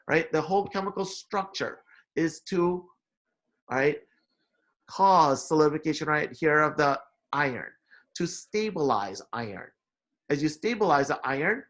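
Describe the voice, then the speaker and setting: A man's voice: fairly high pitch, fairly bright tone. A man explains material in an informal lecture, often checking in with "right?" and repeating key phrases. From Indonesia, moved to America at eight. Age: 40s. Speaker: lecturer, science instructor.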